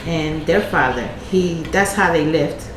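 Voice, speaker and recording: Soft-spoken Puerto Rican mother. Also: deep voice, unhurried, background noise. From Puerto Rico. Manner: brief, soft-spoken answers. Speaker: guest, vlogger's mother. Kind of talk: casual chat. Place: home kitchen. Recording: roomy kitchen with music.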